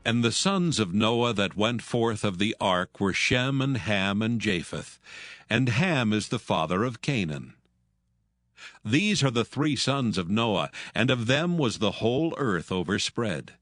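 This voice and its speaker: A man narrating scripture from a script in a measured, solemn manner. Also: lowish voice, very animated, a bit bright, dry recording, studio quality. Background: music.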